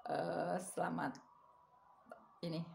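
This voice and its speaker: A woman's voice: on the low side, flat delivery, slow pace, gravelly, darkish tone, roomy sound, a little hiss or hum. A woman with a Javanese accent, chatting casually to her viewers in a rambling way and laughing often. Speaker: vlogger.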